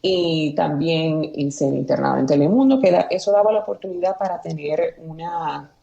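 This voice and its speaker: A woman's voice: deep voice, normal speed, gravelly, warm tone, lo-fi sound. A Puerto Rican woman in her thirties with a Puerto Rican accent, a journalist guest, speaking hesitantly with many fillers and restarts over a remote call. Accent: Puerto Rican Spanish. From Aguada, Puerto Rico. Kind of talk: casual interview chat.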